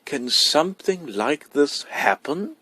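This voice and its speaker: A man's voice: a little high, big pitch swings, slow, a bit bright, husky, no room sound, pitched above the performer's own voice, exaggerated voice. A man voicing a concerned, curious mother in a storybook reading, with an eager, questioning manner. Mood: incredulous, curious.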